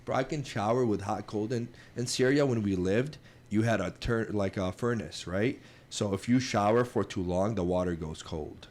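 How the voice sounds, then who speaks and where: Low-voiced man from Iraq, talking emphatically. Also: moderate pace, hardly any pauses, darkish tone. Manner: emphatic, says "bro" a lot, acts out quoted talk. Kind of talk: casual podcast chat.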